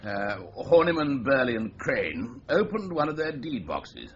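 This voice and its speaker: Senior British police chief, brisk, dry, authoritative, animated mid-pitched voice, radio crime drama, muffled sound. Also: male voice. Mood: brisk, exasperated. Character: brisk senior policeman, male superior briefing his inspector. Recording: muffled radio drama recording, faint noise.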